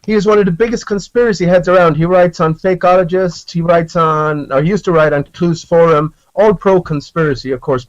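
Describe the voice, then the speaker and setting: A man's voice: high voice, brisk, few pauses. A man with an Irish accent arguing casually in an online voice chat, sounding defensive and a bit hesitant. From Ireland. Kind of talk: heated casual argument in voice chat. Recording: dull lo-fi voice chat audio.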